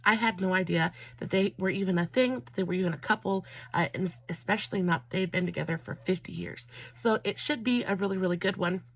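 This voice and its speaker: Hoarse, chatty woman with a cold. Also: mid-range voice. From Southern United States. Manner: warm, effusive, drawn-out emphatic phrasing. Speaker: beauty vlogger. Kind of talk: chatty makeup vlog with storytelling.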